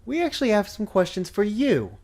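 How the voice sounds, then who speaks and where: A man voicing a serious, thoughtful young dragon in an earnest, measured way for an animated fan dub. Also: high-pitched, heavily acted.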